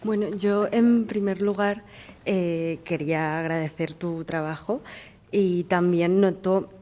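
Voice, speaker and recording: A woman's voice: lowish voice, steady tone, slow, mellow. A woman whose first language is Chinese, hesitantly asking questions from the audience at a talk. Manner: hesitant, many fillers. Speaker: audience member.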